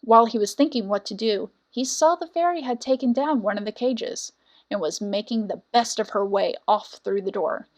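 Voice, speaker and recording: A woman reading a scripted fairy tale as a storyteller, with dramatic, deliberate phrasing. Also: on the high side. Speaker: storyteller, audiobook narrator.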